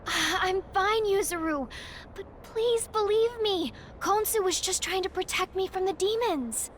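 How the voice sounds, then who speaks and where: A woman voicing a gentle, selfless teenage girl in a video game, earnest and soft-hearted. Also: extremely high pitch, unhurried, a little hiss or hum. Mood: pleading. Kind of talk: anime-style video game voice acting.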